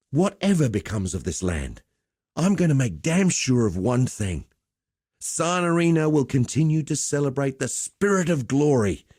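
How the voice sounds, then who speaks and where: An actor playing a cheerful, rough-and-ready arena fight master in a video game, chatty and blokey. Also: male voice, medium pitch, warm tone, dry recording, clean studio sound. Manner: chatty, cocky, blokey storytelling with colloquial swearing. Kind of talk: video game character dialogue.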